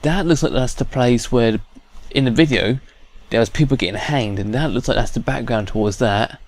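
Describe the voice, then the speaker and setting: A man's voice: medium pitch, moderate pace. A man giving casual let's play commentary, thinking aloud and often repeating words as he goes. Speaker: gamer.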